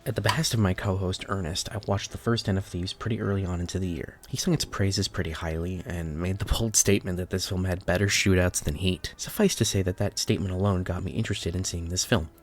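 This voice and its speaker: A man's voice: low-pitched, steady tone, quick pace, few pauses. A man in his early twenties, nasal and stuffy from a sinus infection, reading blunt, opinionated film reviews in a scripted voice-over. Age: early 20s. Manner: blunt, opinionated verdicts with scores.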